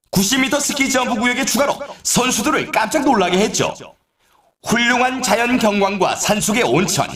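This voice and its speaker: An actor voicing an excitable male snowboard race announcer in a video game, with a polished, showy scripted delivery. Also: high-pitched, roomy sound. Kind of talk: scripted game commentary, course introductions.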